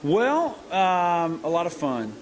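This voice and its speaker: A middle-aged man talks in a fairly high voice. He answers interview questions earnestly and haltingly, starting over often as he works out what he wants to say. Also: sing-song. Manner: earnest, halting with many restarts. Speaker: country singer and hunting show host.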